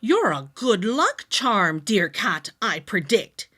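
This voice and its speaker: A woman's voice: mid-range voice, very animated, takes it slowly, fairly bright tone, exaggerated voice. A woman voicing a tiny, grateful leprechaun man in a storybook, with courtly, exclaiming rhymes. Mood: excited. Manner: exclaiming, courtly rhyming speech. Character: weary, grateful little old-world leprechaun man with beard.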